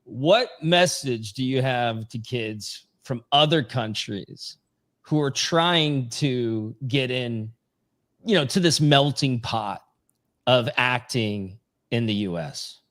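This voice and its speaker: A man's voice: mid-range voice, slow pace, fairly bright tone. A man in his forties hosting a casual interview, asking friendly questions with a few restarts. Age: 40s. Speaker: podcast host.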